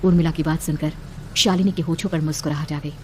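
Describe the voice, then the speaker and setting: Fast, lower-voiced woman narrating horror. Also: fairly even intonation, mellow, slight background noise. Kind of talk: scripted dramatic horror story narration.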